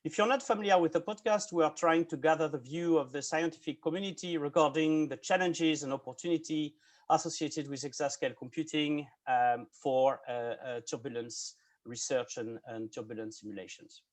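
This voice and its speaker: A man in his forties hosts a podcast interview over a video call. His voice sits a little high for a man, and he talks politely but hesitantly, filling gaps with frequent fillers. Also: steady tone, normal speed. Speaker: podcast host.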